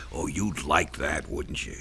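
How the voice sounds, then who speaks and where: An actor in a radio drama playing a cocky, menacing, aging ex-con blackmailer with a gravelly, hard-edged voice. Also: male voice, rumbling low, slow pace, bright, forceful tone, exaggerated voice. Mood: mocking, sly.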